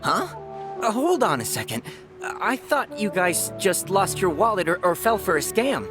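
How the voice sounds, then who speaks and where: A showy fantasy game fortune teller. He is eager and theatrical, with a lively swing in his pitch, and music plays behind him. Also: a little slow. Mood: flustered. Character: showy, excitable male fortune teller, self-assured. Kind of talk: English game dub cutscene dialogue.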